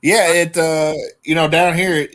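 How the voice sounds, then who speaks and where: A man in his thirties with a country Arkansas accent and a slightly high voice. He hosts a relaxed live stream in a folksy, ribbing way. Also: measured pace. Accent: Southern US, Arkansas. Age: 30s. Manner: folksy, teasing, drawling country talk. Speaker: livestream host. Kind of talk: casual chat.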